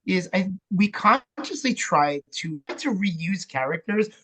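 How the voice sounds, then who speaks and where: Male, notably high voice, conversational and earnest, talks things through. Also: animated, slow, sharp tone. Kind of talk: casual conversation about theatre.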